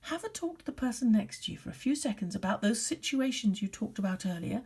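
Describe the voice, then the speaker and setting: A woman speaks as a primary teacher telling a story to young children. Her voice is on the high side and very expressive, with a husky edge. She phrases deliberately and can drop to hushed tones.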